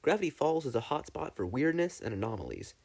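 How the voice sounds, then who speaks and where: A man plays an old, eccentric researcher in an audiobook story. He is curious and formal, pondering aloud in a mellow, expressive voice. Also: fairly high pitch, quick pace, creaky, husky, full character voice. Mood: intrigued. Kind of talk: fan fiction audiobook character reading.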